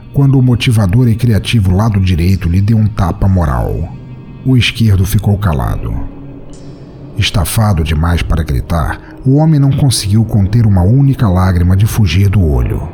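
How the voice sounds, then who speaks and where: A Brazilian man hosting a podcast and narrating scripted fiction in an expressive, theatrical way. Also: deep voice, moderate pace, faint music behind. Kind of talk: dramatic scripted short-story narration.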